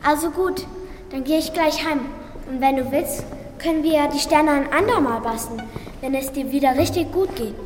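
A woman's voice: sits high, moderate pace, slight background noise, close to an everyday voice. A woman playing a friendly, eager school-age classmate in a children's radio play. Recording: echoey, reverberant space, music underneath.

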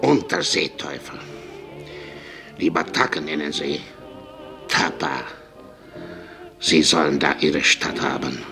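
An actor playing a hard-drinking, servile island boatman in a German radio drama, halting and wary, repeating himself in a deep, gravelly voice. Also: music in the background, full character voice. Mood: spooked, secretive.

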